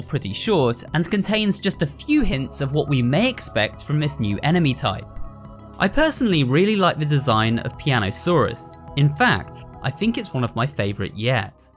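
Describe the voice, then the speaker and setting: A man's voice: on the high side, big pitch swings, moderate pace, a bit bright, faint music behind. A man narrating a scripted breakdown as the host of a video.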